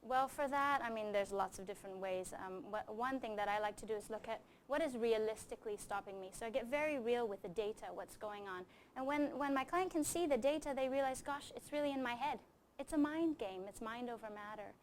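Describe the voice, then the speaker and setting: A woman's voice: on the high side, fairly quick, a little hiss or hum. A middle-aged woman who grew up in Japan, speaking as a coach and interview guest in an earnest, emphatic, explanatory way. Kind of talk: conversational interview answers with anecdotes.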